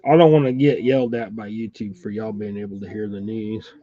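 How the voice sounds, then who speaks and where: A man's voice: fairly low pitch, fairly quick. A congested man who keeps coughing, chatting casually with viewers while he ties fishing jigs at home. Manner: relaxed, chatty, greets viewers by name. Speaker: fishing channel host tying jigs. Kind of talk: casual live-stream chat while tying. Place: home room with a TV nearby. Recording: dull, slightly lo-fi dry sound.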